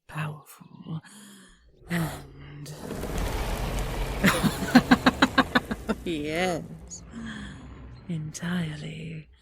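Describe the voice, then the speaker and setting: A woman playing a regal queen and tender lover in a romantic fantasy audio roleplay, breathy and often whispering, with lingering hums and pauses. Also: sits low, a little hiss or hum, exaggerated voice. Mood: awed, soothing.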